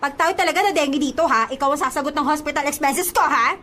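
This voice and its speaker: A woman's voice: high-pitched, quick pace, bright, forceful tone, a little hiss or hum. An actress playing a blunt, exasperated young Filipino woman, the sassy best friend in a radio drama, nagging with dramatic sarcasm. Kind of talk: radio drama acting in Taglish. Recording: music behind the voice.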